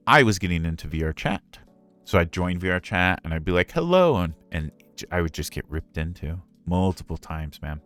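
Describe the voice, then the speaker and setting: Very deep-voiced man chatting candidly on stream. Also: clean tone, no echo. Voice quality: very deep natural voice. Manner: candid, conversational, self-deprecating. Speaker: streamer and ASMR roleplay voice performer answering a Q&A. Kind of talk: casual livestream storytelling.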